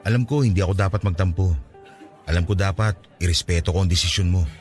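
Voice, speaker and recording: A man's voice: deep voice, quick pace, a little mellow, lightly acted. A young man acting a lovesick suitor in a radio drama, speaking earnestly and pleading with the friend he loves. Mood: hurt. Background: faint music.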